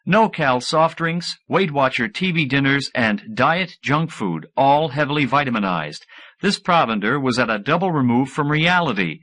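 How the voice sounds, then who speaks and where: Man narrating fiction, animated pitch. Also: normal speed. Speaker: audiobook narrator. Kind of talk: scripted fiction narration with character voices. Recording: 1980s audiobook cassette recording.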